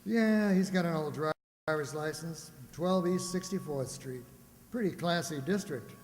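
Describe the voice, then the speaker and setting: A man's voice: fairly high pitch, measured pace, natural voice. A man playing a sardonic, exasperated police lieutenant in an old-time radio drama style, in a very reverberant recording. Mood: businesslike. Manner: sardonic, grumbling, officious. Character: exasperated, sarcastic male police homicide lieutenant. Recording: very reverberant room or hall.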